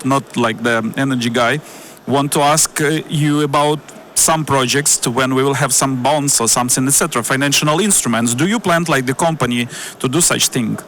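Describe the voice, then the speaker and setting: A man with a Ukrainian accent moderates a panel in a loose, informal way. His pitch sits in an ordinary middle range. A faint noise runs underneath. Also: a bit bright, rough recording. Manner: informal, with casual filler words.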